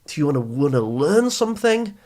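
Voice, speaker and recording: A man hosting a motivational advice talk for teenagers, speaking in an emphatic, deliberate teaching manner that stresses key phrases. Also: fairly high pitch, sing-song, a little mellow. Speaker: podcast host and youth coach.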